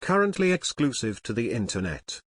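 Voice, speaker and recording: Man, scripted explainer narration, mid-range pitch, dry close sound. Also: very animated, moderate pace, slightly rough, dry recording. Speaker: video narrator.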